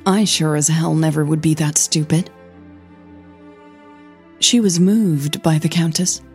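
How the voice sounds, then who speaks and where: A woman reading scripted fiction as the narrator of an audio drama, in a measured, gentle manner. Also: fairly low pitch, gravelly, slightly rough, no echo.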